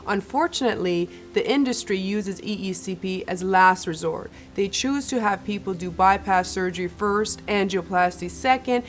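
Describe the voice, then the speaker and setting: A woman speaks as a spokesperson in a promotional clip, making emphatic, plain statements. Her pitch is average and music plays faintly behind her. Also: normal speed, few pauses. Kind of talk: promotional explanation to camera.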